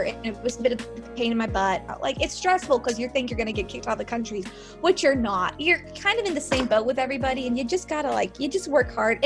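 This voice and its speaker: High-voiced young American woman chatting casually. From United States, Georgia. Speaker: university student interviewee. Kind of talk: casual chat, anecdotes.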